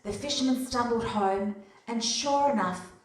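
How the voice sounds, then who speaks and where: A woman's voice: a little high, unhurried. A woman narrating a radio play as live storytelling. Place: hall, live performance. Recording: very echoey hall, distant, faint noise.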